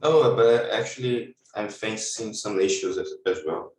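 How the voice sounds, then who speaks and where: A man with a somewhat low voice hosts an online session and introduces the speaker. His video-call audio sounds distant and roomy. He talks in a relaxed way with small hesitations. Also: a little slow, a little hiss or hum. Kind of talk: casual hosting on a video call. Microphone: computer mic on a video call.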